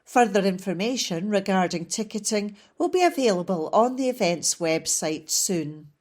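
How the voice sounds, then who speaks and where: A woman volunteer reading newspaper articles aloud in a steady, measured way. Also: mid-range voice. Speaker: volunteer reader.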